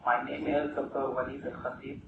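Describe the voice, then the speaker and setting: A man's voice: medium pitch, level tone, normal speed, vocal fry, highs cut off. A man from the Palestinian territories with an Arabic accent, giving a formal witness statement to a tribunal. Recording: echoey courtroom, from a distance, with background hum.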